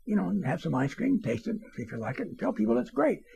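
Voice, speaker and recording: A man playing an excitable radio station boss in an anecdote, amazed and eager, with emphatic exclamations. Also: high-pitched, quick pace, vocal fry, husky, pitched above the performer's own voice, exaggerated voice.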